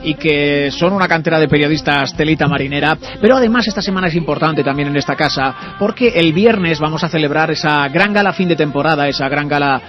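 A man's voice: a little high, quick pace, muffled recording, music in the background. A man with an accent from Spain hosting a live radio show, chatting in an effusive, complimentary way. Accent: Spanish from Spain. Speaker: radio host. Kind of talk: live radio interview, warm chat with guest. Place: radio studio.

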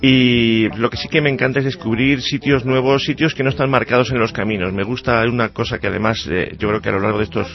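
A man's voice: medium pitch, fairly even intonation, fast, hardly any pauses, highs cut off, soft background music. Earnest man in his forties. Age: 40s. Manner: earnest, hesitant with many restarts. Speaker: politician guest. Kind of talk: radio interview.

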